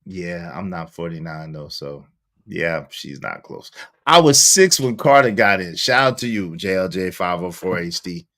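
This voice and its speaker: A man's voice: low voice, lively intonation, measured pace, pushed and bright. A man in his forties hosting a live stream, chatting casually in slang and reading viewer comments aloud. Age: 40s.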